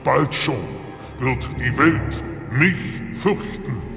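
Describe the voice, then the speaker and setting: Ein Schauspieler spielt in einem Horror-Hörspiel einen bedrohlichen Drachendämon, der langsam und feierlich als Herr eines Kults verkündet. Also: male voice, medium pitch, vocal fry. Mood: solemn, menacing. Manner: grandiose, slow ritual proclamation. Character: menacing male dragon demon, solemn cult lord. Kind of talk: horror audio drama acting. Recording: reverberant vast hall. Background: loud music.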